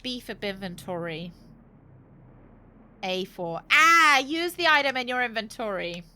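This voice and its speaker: A woman's voice: fairly high pitch, very animated, unhurried, sharp tone, clear, resonant tone. A woman doing casual live game commentary, thinking aloud in a playful, cheeky, rambling way and swearing freely. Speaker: game streamer.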